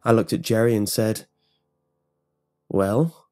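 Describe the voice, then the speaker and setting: A man's voice: lowish voice, a little slow, warm tone, soft background music. A man reading a scripted first-person horror story as its narrator.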